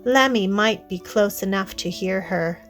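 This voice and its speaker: A woman narrating a children's storybook read-aloud in a deliberate way, addressing young listeners directly. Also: mid-range voice, fairly bright tone, faint music behind.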